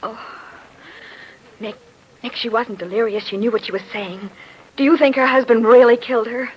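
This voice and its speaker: An actress playing a detective's earnest, inquisitive American assistant in a vintage radio drama. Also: female voice, fairly high pitch, steady tone, normal speed, dull audio, lightly acted. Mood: concerned. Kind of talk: 1940s radio acting. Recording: noisy old radio recording.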